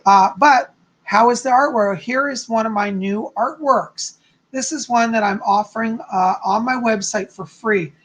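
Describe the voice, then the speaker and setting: A man with a hoarse, slightly raspy voice, chatting casually from home as a psychic hosting his live stream and greeting viewers effusively. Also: extremely high pitch, measured pace, fairly bright tone. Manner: chatty, effusive, frequent "okay?" and "you know".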